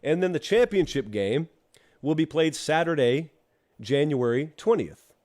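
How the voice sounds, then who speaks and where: A man's voice: on the high side, lively intonation, a little slow, a little mellow. Chatty male host, stuffed-up voice. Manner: chatty, wry asides to the live chat. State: congested from allergies. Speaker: college football show host. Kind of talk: live-streamed solo talk show with ad read.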